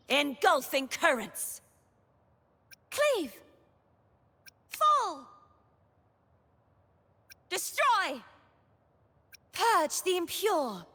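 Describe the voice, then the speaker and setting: A woman's voice: on the high side, creaky, a bit bright, slightly rough, in a large hall, full character voice. An actress playing a noble, solemn young woman knight in a video game, with formal, dramatic delivery. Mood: fierce battle cries. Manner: formal, dramatic, archaic phrasing. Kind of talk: scripted video game character voice lines.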